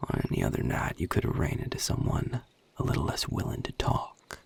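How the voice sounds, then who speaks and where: A man playing a guarded, world-weary vampire in an intimate audio roleplay, with a Southern US accent and a breathy, gravelly drawl, speaking in measured, hushed phrases. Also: close to an everyday voice. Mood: calm, wary. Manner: measured, hushed, plain-spoken with deliberate pauses. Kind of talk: intimate audio roleplay acting addressed to the listener.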